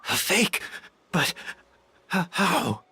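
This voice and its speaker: Weakened man, bright strained voice. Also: sits high, big pitch swings, extremely slow, slightly rough, faint music behind, heavily acted. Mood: shaken disbelief. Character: weakened, obsessive man, shaken and disbelieving. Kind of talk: dramatic video game voice acting.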